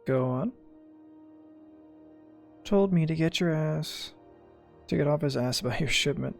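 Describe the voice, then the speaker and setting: A man's voice: on the high side, measured pace, vocal fry. A man doing casual gameplay commentary, jokily muttering back at the game's characters. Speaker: gamer, streamer. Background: faint game music.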